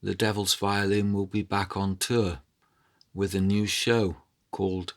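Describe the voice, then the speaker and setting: A man telling a story in a measured, dramatic way, with deliberate pauses between phrases. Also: deep voice, little pitch movement. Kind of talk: dramatic oral storytelling narration with music. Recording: cello and violin music behind.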